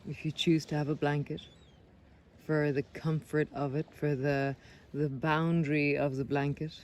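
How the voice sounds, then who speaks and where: A man leading a guided body scan meditation, speaking gently and unhurriedly with pauses between phrases. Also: fairly high pitch, level tone. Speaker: meditation guide, breath coach. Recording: clear background noise of sea sounds. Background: sea sounds.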